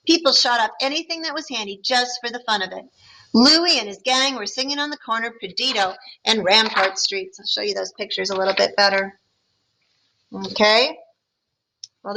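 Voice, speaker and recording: A woman teacher reading a picture book aloud to her students, pausing to explain and ask them questions. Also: a little high, pushed and bright, poor audio. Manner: friendly, explaining to children between passages.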